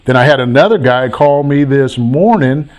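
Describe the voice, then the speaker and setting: Animated man coaching a caller. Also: medium pitch, normal speed. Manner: animated, preachy, repeats himself. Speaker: host, real estate coach. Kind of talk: casual call-in chat.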